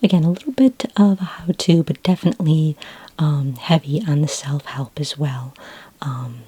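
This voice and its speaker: Breathy, whispering woman, low and mellow. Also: no room sound. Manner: gentle, unhurried, helpful customer-service patter. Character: friendly, patient sci-fi librarian woman. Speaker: ASMR roleplay performer as a library clerk. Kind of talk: soft-spoken ASMR roleplay. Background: computer keyboard typing.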